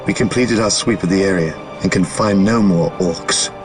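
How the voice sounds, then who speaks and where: An actor playing an obedient, solemn space marine soldier in a video game cutscene, giving clipped formal reports. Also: male voice, sits low, moderate pace, lightly acted. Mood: dutiful. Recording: music behind the voice. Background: music.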